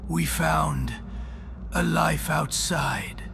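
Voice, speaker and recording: A man playing a dying but defiant, idealistic traitor captive in a sci-fi audio drama, speaking in a halting, strained rasp. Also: on the high side, music in the background, voice pushed up, heavily acted. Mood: fervent hope. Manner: halting, weak but fervent.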